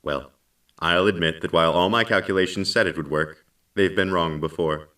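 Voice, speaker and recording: An actor playing a calm, helpful ship computer in an audio drama, speaking in a measured, matter-of-fact way. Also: male voice, deep voice, recorded from a distance. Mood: reflective. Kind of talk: scripted audio drama acting.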